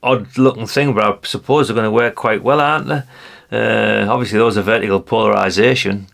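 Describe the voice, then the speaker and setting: A man's voice: lowish voice, fairly even intonation, a little fast, creaky, fairly bright tone. A British man giving casual, rambling hobbyist commentary to his viewers, chatty and colloquial, often tagging questions onto his remarks. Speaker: hobbyist video host. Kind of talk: casual rambling commentary while leafing through a brochure.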